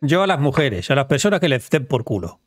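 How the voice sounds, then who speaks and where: A man playing an eager, jealous press officer in an improvised online game roleplay, excitable, fawning and repeating himself. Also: medium pitch, brisk, no echo, clean studio sound. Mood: competitive. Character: eager, flustered male press officer named José Luis, jealous suitor.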